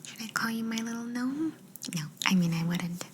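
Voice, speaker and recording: A woman's voice: fairly high pitch, animated, moderate pace, a little hiss or hum. A woman whispering breathily and playfully as a quirky job interviewer in an ASMR roleplay, close to the microphone. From Canada. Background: writing sounds.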